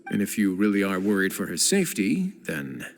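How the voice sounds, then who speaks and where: A man voicing a self-assured nobleman with a courteous, smooth and lightly teasing manner. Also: low-pitched, sing-song, fairly quick, a little hiss or hum. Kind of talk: video game voice acting. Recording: game audio with music behind.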